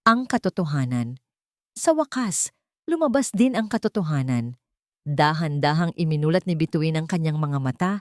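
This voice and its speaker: A woman with a fairly low voice tells a dramatic romance story in a steady, scripted way. The recording is close and dry, with no room sound. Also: dry recording. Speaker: narrator.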